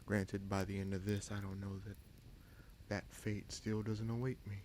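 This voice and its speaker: A man playing a bitter, scheming prince in a fantasy audio roleplay, speaking deliberately with sardonic menace and dramatic pauses. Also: lowish voice, level tone, a little mellow, a little hiss or hum, close to an everyday voice. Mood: cold, challenging. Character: bitter, scheming bastard prince commanding a captive dragon.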